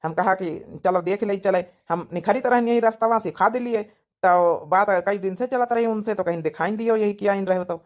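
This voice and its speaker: A woman's voice: mid-range voice, brisk, a little mellow, lightly acted. A woman voicing a chatty middle-aged village matchmaker uncle in a cartoon comedy, rambling persuasively with an Awadhi accent.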